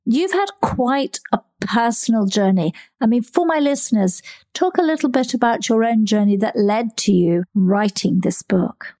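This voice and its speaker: A woman's voice: a little high, expressive, normal speed, mellow. A woman hosting a podcast, introducing her guest and asking about her story. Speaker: podcast host. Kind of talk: scripted podcast intro and interview.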